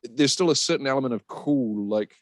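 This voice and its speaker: A man's voice: mid-range voice, a little fast, no echo. A man chatting on a podcast, informal and hesitant, often correcting himself. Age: adult, middle-aged or younger. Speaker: podcast panellist. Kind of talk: casual podcast discussion.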